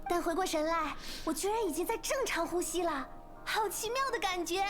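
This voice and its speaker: An excitable, bubbly young woman from an anime game. Her voice sits high and she tells her tales with cheerful animation. Soft music plays behind her. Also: fairly quick. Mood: wondering, eager. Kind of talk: scripted game dialogue in Mandarin.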